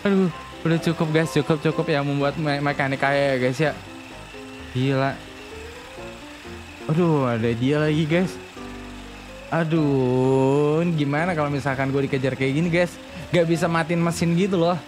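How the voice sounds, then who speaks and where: A man's voice: on the high side, steady tone, moderate pace, long pauses, music in the background. A man commentating on game roleplay in a slangy, exclamatory way, often repeating words. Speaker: gaming video creator and host.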